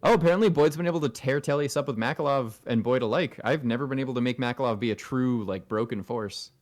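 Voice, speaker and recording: A man's voice: medium pitch, quick pace. A man chatting casually as he streams a video game live.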